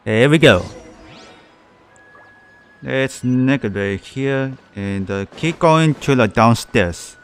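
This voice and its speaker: A man's voice: medium pitch, takes it slowly, long pauses, soft background music. A man giving casual commentary as he livestreams a video game.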